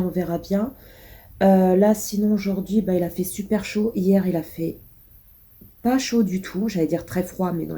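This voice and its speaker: A woman's voice: medium pitch, mellow. A woman vlogging casually while sick, with a blocked nose and a cough, rambling through many hesitations. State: congested, stuffy nose. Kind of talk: casual vlog chat.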